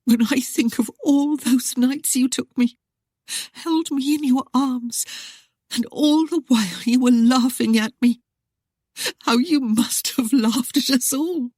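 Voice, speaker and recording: A woman voicing a proud young noblewoman who teases, pleads and accuses in a high, emotional voice. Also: takes it slowly, darkish tone, dry recording, studio quality. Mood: tearful.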